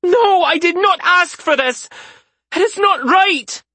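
A male narrator voicing Isaria, a proud noble warrior woman who scolds and shouts. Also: very high-pitched, takes it slowly, dry recording, voice pushed up, exaggerated voice. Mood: furious.

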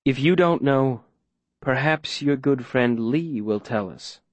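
A smooth-talking villainous mayor, voiced by a male narrator, formal and measured. He sounds falsely gentle and reasonable over a cold menace, in a dry audiobook recording at a middling pitch. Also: dry recording, lightly acted. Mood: calm menace.

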